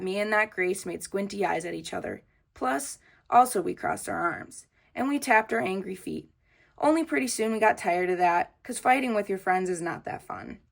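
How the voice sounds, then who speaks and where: A woman reading a children's book aloud as storytelling, in an expressive manner with childlike phrasing. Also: mid-range voice, vocal fry.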